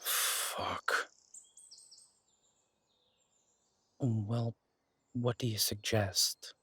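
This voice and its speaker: A man playing a steady, protective detective who speaks softly and reassuringly, trying to keep the peace. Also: fairly low pitch, very slow, slightly rough, no echo, exaggerated voice. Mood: weary. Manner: soft and reassuring, pleading, peacemaking. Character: steady, protective male detective, tender with his partner. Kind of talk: audio roleplay drama acting.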